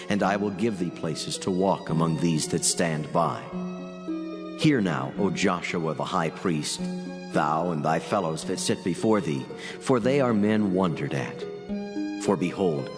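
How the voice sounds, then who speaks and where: Deep, solemn angel in reverberant scripture drama. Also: male voice. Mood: solemn, commanding. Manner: grave, declamatory, measured. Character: solemn, authoritative male angel speaking God's commands. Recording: long hall reverb, music underneath. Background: background music.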